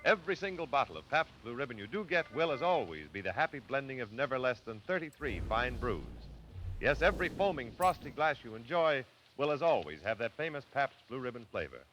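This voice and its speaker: A man's voice: mid-range voice, sing-song, brisk, fairly bright tone. A man working as a polished radio announcer, delivering a salesmanlike 1940s sponsor message. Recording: dull vintage radio recording, faint noise.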